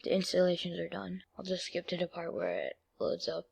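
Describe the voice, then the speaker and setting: A female-sounding speaker giving a casual, halting step-by-step software tutorial as a screen-recording voice-over. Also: medium pitch, very animated, vocal fry, husky. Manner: informal, halting step-by-step instructions. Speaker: video creator giving a tutorial.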